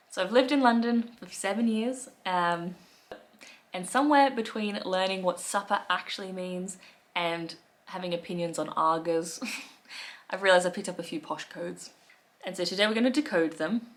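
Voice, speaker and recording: A woman in her thirties with an Australian accent, chatting wryly to camera from her living room, with soft laughs and fillers. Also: fairly low pitch. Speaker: lifestyle vlogger. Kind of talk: casual talk to camera.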